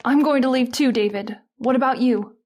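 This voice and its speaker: An anxious, easily frightened woman played by an actress with a high voice. She speaks in the dramatic manner of an old-time radio horror mystery. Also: moderate pace, background noise, natural voice. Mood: uneasy.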